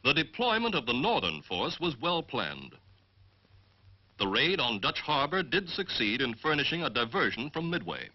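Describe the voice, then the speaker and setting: A man with an American accent giving formal, measured, scripted military narration as the narrator of a training film. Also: mid-range voice, huge pitch swings. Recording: dull 1940s film soundtrack.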